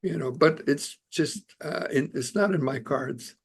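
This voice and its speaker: An older man born in Canada speaks as a guest on a podcast, earnest and reflective, with a somewhat high voice. He pauses often on fillers and restarts his sentences. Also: gravelly, husky. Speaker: immigration lawyer and author, podcast guest. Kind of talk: casual interview conversation.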